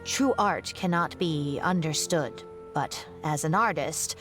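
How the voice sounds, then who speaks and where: An actress playing an elderly woman scholar in a video game, composed and reminiscing in a measured, wry manner. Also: deep voice, over music. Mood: fond, reflective. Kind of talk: scripted video game dialogue.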